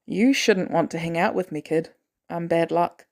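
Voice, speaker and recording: A woman acts out a haunted former assassin in an audio story. He is weary and terse, with a dark, soft-edged tone, hesitant and dryly protective. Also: fairly low pitch, normal speed, lightly acted. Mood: pained, weary. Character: weary, guilt-ridden male ex-assassin, gruff but protective.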